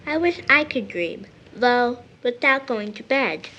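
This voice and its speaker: A slightly muffled recording with faint noise carries a small child in an audio drama. The child grumbles in puzzlement about grown-ups, fairly high and unhurried. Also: female voice, expressive, pushed and bright. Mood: grumbling.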